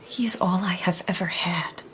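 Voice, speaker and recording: A woman's voice: on the low side, steady tone, normal speed, fairly bright tone, lightly acted. Actress as a proud, wistful impoverished woman. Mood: grieving, defiant. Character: proud, stubborn, impoverished older sister, wistful. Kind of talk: radio drama acting.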